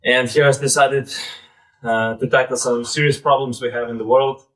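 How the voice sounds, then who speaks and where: A man in his thirties with a Belarusian accent gives an informal live presentation in a halting, conversational way, often saying "like" and "basically". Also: mid-range voice, steady tone, a bit bright, poor audio. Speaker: presenter, startup founder.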